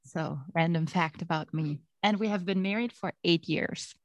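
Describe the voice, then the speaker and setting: German-accented woman, former teacher, earnest guest, mid pitch, dry sound. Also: moderate pace, no room sound. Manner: earnest and open, laughs easily. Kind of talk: interview conversation.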